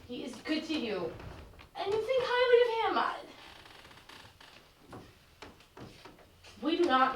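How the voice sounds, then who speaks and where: A woman acting a calm, earnest older sister who comforts and advises her younger sibling in a stage play. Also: a little high. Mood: earnest advice. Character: older sister, steady and consoling. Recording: echoey stage from far off, faint noise.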